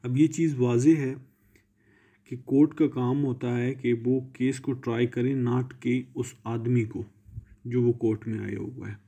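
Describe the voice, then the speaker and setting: A man with a Pakistani accent lecturing on law, reading legal text and explaining it deliberately, switching between two languages. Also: medium pitch, steady tone, warm tone, husky.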